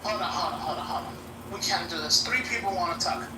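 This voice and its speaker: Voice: mid-range voice, fast. Directive moderator setting the speaking order. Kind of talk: moderating a voice-chat debate. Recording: echoey, distant, noisy playback.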